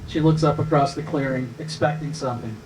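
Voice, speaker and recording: A man's voice: medium pitch, brisk, a little mellow, slight background noise. A man reading screenplay stage directions as scripted narration at a live table read, picked up by a distant microphone.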